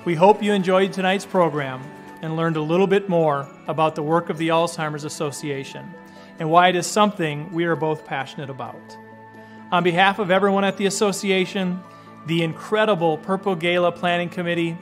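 A man's voice: a little high, normal speed, faint music behind. A man giving a short scripted thank-you message as an event co-chair.

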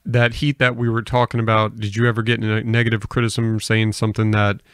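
A man hosting a podcast interview, putting long, measured questions in a casual way. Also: fairly low pitch, little pitch movement, fairly bright tone, clean tone, dry recording, clean studio sound.

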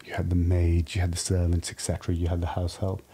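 A man in his early thirties from Pakistan tells his own story as a podcast guest. His voice is lowish and dark, very relaxed in tone, and he talks in a candid, informal, reflective way. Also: fast talker, a little hiss or hum. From Lahore, Pakistan. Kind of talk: casual personal storytelling. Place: podcast studio. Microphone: studio podcast microphone.

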